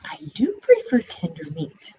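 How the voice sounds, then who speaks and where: A woman's voice: on the high side, wildly swooping pitch, unhurried, dark tone, slightly rough, lo-fi sound, full character voice. A woman reading aloud and voicing a cunning, hungry male fox who gives bossy, impatient orders. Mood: musing.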